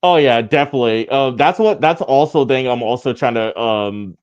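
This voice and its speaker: A man with a deep, raspy voice, a rapper guest chatting casually in an interview, laid-back and laughing often. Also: moderate pace, darkish tone, dull audio. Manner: laid-back, laughs often, fillers like "you know what I mean".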